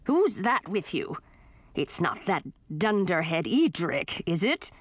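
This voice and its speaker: A woman voicing a cranky, rude witch aunt with a raspy, lizardy voice in a storybook reading. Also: lowish voice, very animated, takes it slowly, dry recording, exaggerated voice. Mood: snappish. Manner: barking, grumbling, dismissive.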